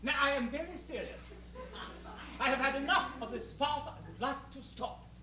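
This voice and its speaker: An actor playing an exasperated, uneasy male hotel boss, halting yet commanding, in a theatrical stage style. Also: extremely high pitch, sharp tone. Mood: commanding, fed up. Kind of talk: theatrical two-hander stage acting. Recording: distant roomy stage recording with hiss.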